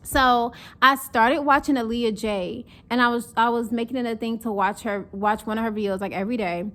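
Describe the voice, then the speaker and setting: A woman's voice: fairly high pitch, a little fast. A young woman from North Carolina with a Southern accent mixed with northern speech, chatting casually and animatedly to camera as a vlogger. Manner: chatty, animated, acts out mocking quotes.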